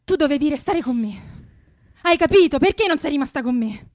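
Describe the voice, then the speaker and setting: An actress playing an outspoken young activist in a radio drama, challenging and emphatic. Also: female voice, high voice, lively intonation, a little fast, dry recording, clean studio sound. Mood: upset. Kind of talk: naturalistic radio drama acting.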